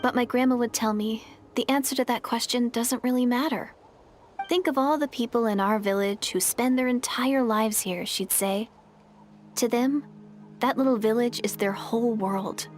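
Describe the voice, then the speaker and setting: A woman's voice: a little high, moderate pace, close to an everyday voice. An actress playing an earnest, dreamy young village woman adventurer in a video game, speaking sincerely. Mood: wistful. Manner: sincere, wistful storytelling. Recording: music playing behind.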